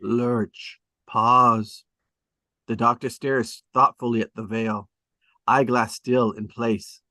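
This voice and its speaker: A man narrates a script's stage directions at a table read. His voice sits at a medium pitch and the sound is dry, with no room to it. He phrases things in clipped, emphatic, dramatic bursts. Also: level tone, takes it slowly, pushed and bright, no echo.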